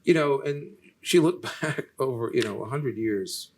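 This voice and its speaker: A soft-spoken man, lecturing and answering questions in a halting way, with many restarts. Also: medium pitch, expressive, slight background noise. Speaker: featured lecturer in a Q&A. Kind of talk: unscripted lecture conversation.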